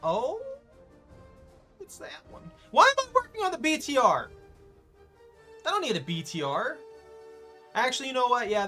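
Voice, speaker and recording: Young man, gaming streamer, playful and teasing, higher pitch, bright tone. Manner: playful, teasing, loud exclamations. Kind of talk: live gameplay commentary.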